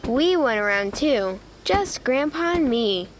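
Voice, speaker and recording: Female storybook narrator, high-pitched and animated, childlike and eager, faint background noise. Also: takes it slowly. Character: eager, proud young boy critter telling his story. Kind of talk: children's read-aloud narration.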